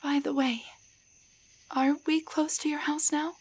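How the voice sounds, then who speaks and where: A woman voicing a timid, grateful tiny enchanted doll in an intimate roleplay audio drama, speaking softly, gently and haltingly with a breathy voice. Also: sits high, little pitch movement. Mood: shy wonder. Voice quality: soft, breathy.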